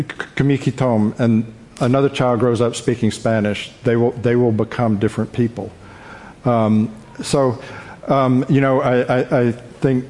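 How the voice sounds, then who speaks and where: A man speaking unscripted as a journalist on a panel, in a halting, hesitant way. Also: medium pitch, darkish tone. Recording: echoey hall reverb.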